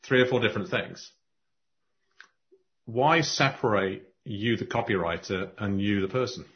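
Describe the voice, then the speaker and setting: Man, podcast host, low voice, animated, muffled lo-fi recording. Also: measured pace. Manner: animated, restarts often, bursts of speed. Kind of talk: casual conversation. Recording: muffled lo-fi audio.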